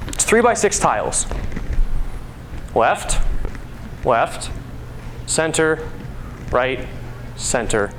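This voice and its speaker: A man's voice: mid-range voice, big pitch swings, extremely slow, long pauses, a bit bright. A young man giving an informal speech to an audience in a conversational, playful storytelling manner. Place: live talk before an audience at a school. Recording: noisy live recording, background ambience.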